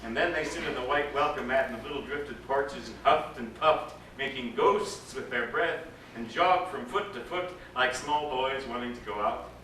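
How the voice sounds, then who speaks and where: Bright-voiced man reading in echoey room. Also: medium pitch, moderate pace, hardly any pauses. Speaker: actor reading a story aloud. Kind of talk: expressive dramatic literary reading. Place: indoor live reading to a gathering. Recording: echoey room, distant mic, background noise.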